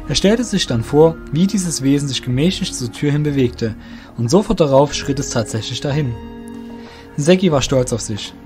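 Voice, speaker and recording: Male narrator, slightly high voice, scripted fantasy storytelling with a music bed. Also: a little mellow.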